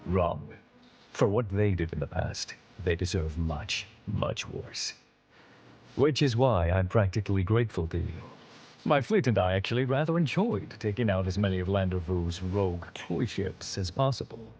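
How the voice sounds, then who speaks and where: An actor playing a stern, honorable veteran alien admiral in a video game, speaking in a formal, measured, authoritative way. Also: male voice, on the low side, darkish tone, slightly rough. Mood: proud, respectful. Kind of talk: video game cutscene acting.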